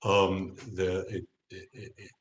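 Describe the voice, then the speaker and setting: A man as a podcast guest, talking casually and reflectively, halting as he searches for the right words. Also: low voice, level tone, muffled recording. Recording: echoey room.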